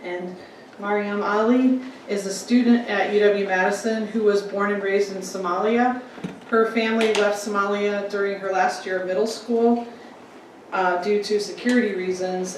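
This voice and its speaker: A woman introducing a panel at a public event, reading bios with many ums and pauses. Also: medium pitch, slight background noise. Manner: halting, many ums and restarts. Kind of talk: formal introductions. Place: lecture room with audience. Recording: echoey room from a distant mic.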